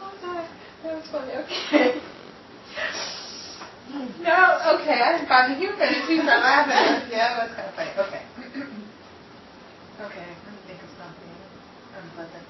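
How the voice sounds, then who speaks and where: A woman chatting casually as she leads a voice acting rehearsal, giggly and excitable. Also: high-pitched, normal speed, long pauses. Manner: giggly, excitable, often breaking into laughter. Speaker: rehearsal leader and voice actor. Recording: echoey, distant, muffled, noisy room recording.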